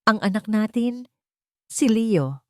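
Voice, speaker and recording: Woman acting as betrayed wife, anguished, pleading, raised pitch, story drama, dry close sound. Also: expressive, slow pace, darkish tone, no room sound. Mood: pleading, tearful. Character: betrayed, tearful young wife and overseas worker.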